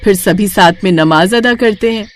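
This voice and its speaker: A woman's voice: mid-range voice, normal speed. A woman narrator reading a scripted moral story for children.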